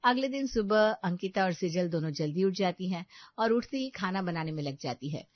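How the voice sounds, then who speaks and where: Low-pitched woman narrating a family story. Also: expressive, normal speed, dry recording, muffled recording.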